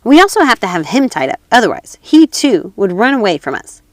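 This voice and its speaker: A woman's voice: medium pitch, sing-song, a little fast, no room sound, clean studio sound, close to an everyday voice. A woman playing a fierce, bossy little robber girl in a storybook, speaking bluntly and emphatically. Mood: bossy, boastful. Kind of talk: storybook character voice.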